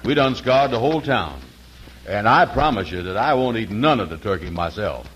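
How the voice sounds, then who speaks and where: Slow, folksy, good-natured dimwit, very low voice, old-time radio comedy. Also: male voice, expressive, fairly bright tone, husky, poor audio. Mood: sheepish. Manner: slow, folksy, ungrammatical drawl. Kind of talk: 1940s American radio comedy acting.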